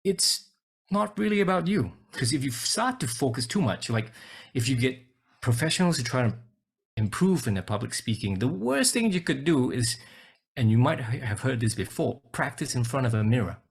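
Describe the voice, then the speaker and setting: Husky gravelly man from Singapore. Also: mid-range voice, very animated, normal speed. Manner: hesitant, repeats words, thinks aloud. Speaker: co-author and webinar presenter. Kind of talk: casual webinar talk. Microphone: video call.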